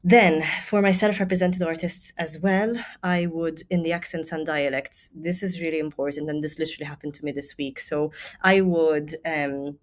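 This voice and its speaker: A woman's voice: sits low, fairly even intonation, moderate pace. A woman with a Maltese accent giving a casual, chatty tutorial as an actor advising other actors, stressing her key words in an informal way. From Malta. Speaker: stage actor giving advice to self-represented actors.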